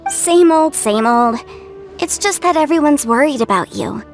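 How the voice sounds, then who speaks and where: A lively, caring big sister. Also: female voice, high voice, soft background music. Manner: brisk and caring. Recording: game soundtrack behind.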